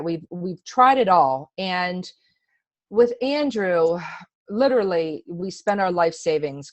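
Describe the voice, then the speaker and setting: A woman's voice: lowish voice, no echo. A middle-aged woman giving a casual, chatty personal testimonial in an earnest, emphatic way. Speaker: testimonial speaker.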